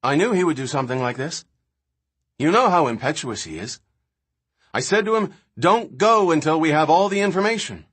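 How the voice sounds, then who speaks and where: A man's voice: on the high side, animated, moderate pace, fairly bright tone, slightly rough, pitched above the performer's own voice. A man voicing an intense, opinionated scientist in an audiobook, lecturing impatiently with emphatic, rhetorical phrasing. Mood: agitated, scornful.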